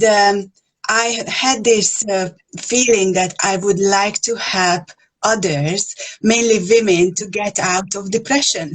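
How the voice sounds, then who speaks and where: Hungarian-born woman answering interview questions, soft and easy, bit of laughing. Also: mid-range voice, rough recording. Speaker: guest, intuitive healer. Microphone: video call.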